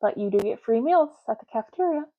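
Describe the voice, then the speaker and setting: A soft-spoken woman doing an ASMR roleplay as a clerk, talking casually and matter-of-factly in unhurried short phrases with pauses. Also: a little high, lively intonation, narrow, tinny audio. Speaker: ASMR roleplay host, playing an intake clerk making ID cards.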